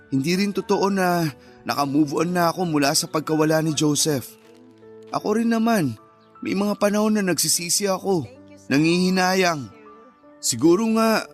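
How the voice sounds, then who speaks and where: Radio drama role: worried, pleading husband played by a man, fairly high male voice, earnest, music underneath. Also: lightly acted. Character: grieving young husband, patient, worried, pleading. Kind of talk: Filipino radio drama acting.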